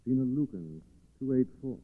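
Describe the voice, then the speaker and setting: An actor playing a cool, resolute gentleman sleuth in a 1940s radio drama, assured and urbane. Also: medium pitch, lively intonation, normal speed, close to an everyday voice. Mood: calm, determined. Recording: phone-like 1940s radio recording.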